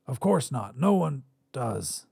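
A man's voice: mid-range voice, big pitch swings, slow, creaky, background noise, full character voice. Man playing a bewildered, sceptical everyman. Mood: incredulous. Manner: short, questioning, incredulous. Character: bewildered, sceptical man facing his future self.

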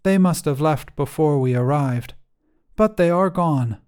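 A man's voice: fairly high pitch, measured pace, darkish tone, smooth, pitched above the performer's own voice, natural voice. A man voicing a small, earnest child in a short story, speaking in a plain, insistent manner. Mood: matter-of-fact. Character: small earnest child, matter-of-fact, questioning a statue-like immortal. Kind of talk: short fiction narration.

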